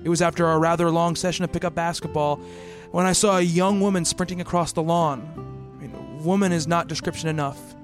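Actor playing an earnest, high-voiced male psychiatrist. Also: steady tone, a little fast, few pauses, faint music behind, lightly acted. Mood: grave, haunted. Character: earnest male psychiatrist, haunted narrator and shy awkward suitor. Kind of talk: radio play acting.

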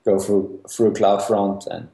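A young man from Austria with a very low voice, explaining technical work in a casual interview. He hesitates often, restarting sentences and filling pauses. The recording carries a noticeable echo of the room. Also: steady tone, vocal fry, dark tone, slight background noise. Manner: hesitant, many restarts and fillers. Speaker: guest developer. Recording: echoey room.

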